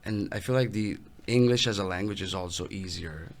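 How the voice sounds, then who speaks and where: A young adult man from Italy with an Italian accent, a guest musician talking casually in an interview, relaxed and halting as he restarts his phrases. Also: deep voice.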